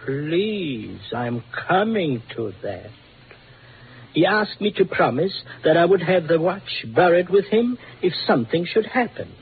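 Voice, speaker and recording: An actor playing a rambling, self-important elderly doctor with a pompous manner, in 1940s radio style. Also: male voice, high voice, sing-song, slightly rough, exaggerated voice. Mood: amused, rambling. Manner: pompous, chuckling, drawn-out. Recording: narrow noisy old radio recording.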